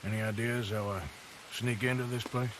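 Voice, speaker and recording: A man voicing a gruff, dry-witted middle-aged outlaw gunman with a laconic drawl. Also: mid-range voice, steady tone, moderate pace, noisy background, close to an everyday voice.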